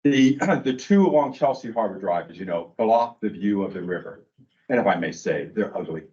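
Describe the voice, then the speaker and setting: A man's voice: lowish voice, expressive, normal speed, mellow, highs cut off. A man making a wry, tentative comment at a city meeting. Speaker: resident speaking at public meeting. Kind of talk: informal public comment.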